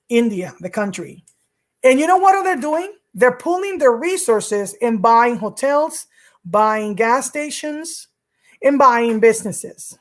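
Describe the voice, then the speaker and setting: A woman from Rico, hosting her own vlog and talking earnestly to camera, repeating words for emphasis. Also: on the high side. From Puerto Rico. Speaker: vlog host. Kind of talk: casual talk to camera.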